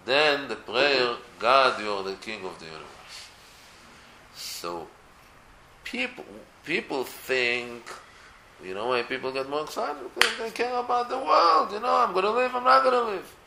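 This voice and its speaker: Israeli guy talking torah casually, gravelly bright voice, swings up and down a lot, echoey room. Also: on the high side, moderate pace, a little hiss or hum. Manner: animated, many restarts, rising to emphatic peaks. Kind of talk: casual religious talk.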